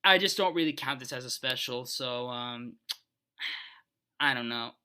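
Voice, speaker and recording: A man's voice: medium pitch, a little slow, bright, forceful tone, smooth. A man giving casual, unscripted ranking commentary, rambling and emphatic as he shares his opinions. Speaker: video host.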